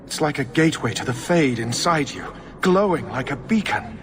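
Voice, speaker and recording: A man's voice: on the high side, expressive, moderate pace, background noise, lightly acted. Man playing a subdued, broken captive mage. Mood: anguished, resigned. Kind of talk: dramatic video game voice acting. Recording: music behind the voice.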